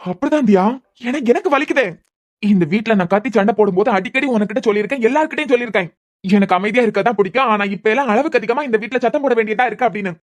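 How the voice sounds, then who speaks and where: A woman's voice: on the high side, racing, natural voice. Woman doing a bitter, sarcastic young husband. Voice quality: sarcastic, bitter, repeating words when angry. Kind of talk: amateur audio drama.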